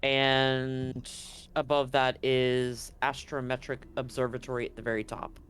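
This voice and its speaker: A man's voice: mid-range voice, flat delivery, a little slow, a bit bright. A man running a tabletop role-playing game as game master, talking casually to the players over background noise. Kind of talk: tabletop role-play game narration and chat.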